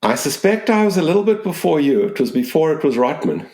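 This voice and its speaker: A man in his sixties from Zimbabwe with a mixed Southern African accent, answering interview questions in a measured, explanatory way. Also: fairly high pitch, very animated, darkish tone, reverberant. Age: 60s. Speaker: business school dean, interview guest.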